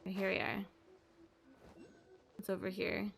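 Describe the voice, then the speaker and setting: A woman's voice: mid-range voice, big pitch swings, slow, vocal fry, background noise. A woman chatting casually as she livestreams a game, with a cutesy, playful manner. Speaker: game streamer. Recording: game music behind voice.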